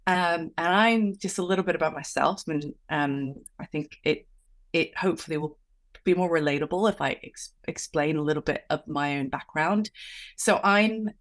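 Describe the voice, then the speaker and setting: A woman's voice: low voice, normal speed. A woman coach and author, born in Venezuela, giving reflective interview answers with frequent restarts. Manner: reflective, with many restarts and fillers.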